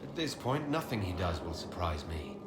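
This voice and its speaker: Deep-voiced heroic leader, echoey. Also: male voice, sing-song, normal speed. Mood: resolute. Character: calm, supportive heroic male leader of a fantasy order. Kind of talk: video game dialogue acting. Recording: echoey, with clear background noise.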